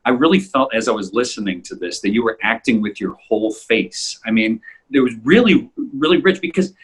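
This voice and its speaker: A man in a casual, halting discussion, speaking appreciatively as co-creator of an audio drama podcast. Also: mid-range voice, animated, breathy edge. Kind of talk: casual discussion of their own work.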